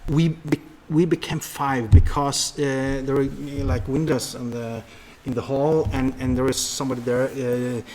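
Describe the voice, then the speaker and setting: A man with a Norwegian accent telling stories conversationally as an interview guest, often halting and restarting his words. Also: mid-range voice, flat delivery, a crowd in the background. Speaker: public speaker and seminar leader, interview guest.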